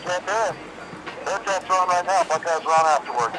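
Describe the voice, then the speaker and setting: A man's voice: high-pitched, brisk, music in the background. A man working at a bar, talking casually on the phone, heard over a speakerphone. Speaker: bar employee answering phone. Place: phone call heard outdoors. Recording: phone on speaker, noisy street.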